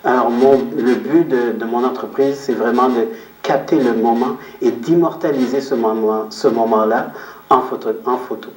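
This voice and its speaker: A man answering interview questions as a guest, explaining his outlook in a reflective, measured way and repeating phrases for emphasis. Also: medium pitch, fairly even intonation. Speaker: interview guest, photographer and business owner. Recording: roomy echo, distant microphone.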